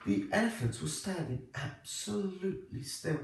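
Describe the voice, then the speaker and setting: Echoey, animated man reading a storybook. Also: a little high, vocal fry. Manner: expressive, deliberate phrasing for young children. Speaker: primary school teacher reading aloud. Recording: echoey room, distant microphone.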